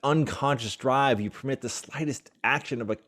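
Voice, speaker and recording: A man's voice: medium pitch, normal speed, a bit bright, natural voice. A man playing the exasperated but loving boyfriend of a stage diva in a radio play, with wry, emphatic phrasing. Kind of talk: radio play acting of classic film dialogue.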